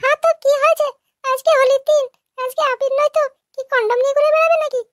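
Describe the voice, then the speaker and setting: Squeaky, cheeky young woman. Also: steady tone, quick pace, no room sound, clean studio sound. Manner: cheeky, brazen.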